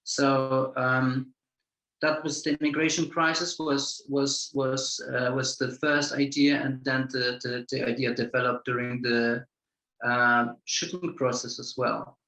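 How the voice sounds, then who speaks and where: A film director answers questions in English, searching for words and circling back through repeated phrases. His voice sits at a middling pitch and carries a roomy echo. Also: flat delivery, sharp tone. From Poland. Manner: halting, many repeated words and restarts. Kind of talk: festival Q&A answer.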